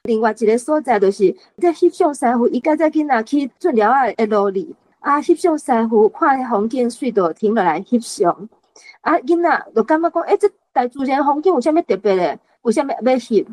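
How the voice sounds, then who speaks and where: Taiwanese female reader, high register, casual personal talk. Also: lively intonation, a little fast, a little mellow, low-quality recording. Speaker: reading group member.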